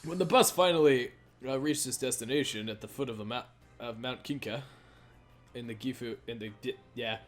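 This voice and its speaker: Halting man reading aloud over music. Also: mid-range voice. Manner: halting, stumbles and repeats phrases while reading. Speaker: let's play host reading a visual novel aloud. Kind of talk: casual read-aloud of game dialogue. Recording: music behind the voice.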